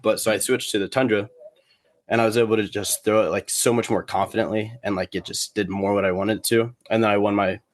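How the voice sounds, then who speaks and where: A man chatting casually as a podcast guest, rambling and halting, with plenty of slang. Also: fairly low pitch, steady tone. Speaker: guest, competitive cornhole player.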